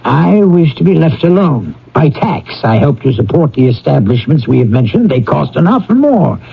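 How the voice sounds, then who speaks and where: A raspy, bitter old miser who snaps and grumbles with contempt. His pitch swings widely from line to line. It is old radio drama, on a dull lo-fi recording. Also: very animated, normal speed, heavily acted. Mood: cold refusal. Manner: scornful, snappish, grumbling. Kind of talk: classic radio drama acting.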